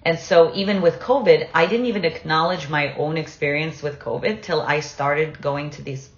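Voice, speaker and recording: A woman with a low voice talks personally in a conversation. She is halting, restarting her phrases as she searches for words, and the sound is roomy and slightly dull. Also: fairly even intonation. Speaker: interview participant, writer and parent.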